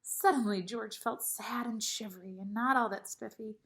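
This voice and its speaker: A woman's voice: on the high side, normal speed, a bit bright. A woman narrating a children's picture book expressively, like a teacher reading aloud.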